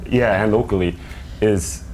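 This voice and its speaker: A man from Sweden, a native Swedish speaker, talking earnestly in a family interview, often pausing to find his words. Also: fairly low pitch, a little mellow, a little hiss or hum, low-quality recording. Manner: earnest, searching for words, many "like" and "you know". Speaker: interview guest, family member. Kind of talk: casual interview conversation.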